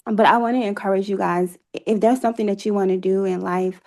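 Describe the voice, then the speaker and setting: A woman's voice: mid-range voice, steady tone, quick pace, vocal fry, a little mellow. An American woman speaking as an interview guest in an earnest, encouraging, motivational way. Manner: encouraging, faith-filled. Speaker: guest, stage play cast member and organizer.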